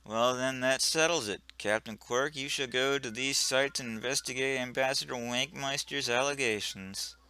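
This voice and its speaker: A man voicing a pompous male admiral in a comic game dub, speaking low and soft with a condescending manner. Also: normal speed, hardly any pauses, clear, resonant tone, no echo. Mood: decisive.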